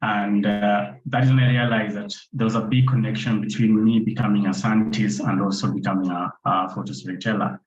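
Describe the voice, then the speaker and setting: A man with a lowish voice gives an informal online talk as a guest speaker. His delivery is halting, and the sound is echoey and dull, like a lo-fi call. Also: little pitch movement. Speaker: guest speaker, photographer and ecologist.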